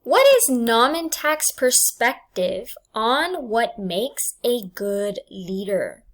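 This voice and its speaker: A woman teacher reading test questions and answer choices aloud in a deliberate, enunciated way for students. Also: fairly high pitch, sing-song. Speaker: English language arts teacher.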